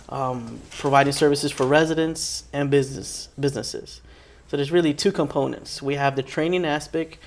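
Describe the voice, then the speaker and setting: A young man with a slightly high voice answers questions as an interview guest. He grew up in New Jersey and talks agreeably and conversationally, often repeating a phrase for emphasis. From born in Ecuador, raised in Elizabeth, New Jersey. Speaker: interview guest, public servant at a training center.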